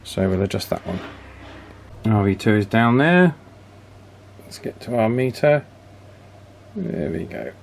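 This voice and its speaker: A man giving a casual, chatty hands-on repair commentary in an unhurried way, as if thinking aloud. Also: on the low side, very animated, vocal fry, a little hiss or hum. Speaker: radio repair hobbyist presenting his work.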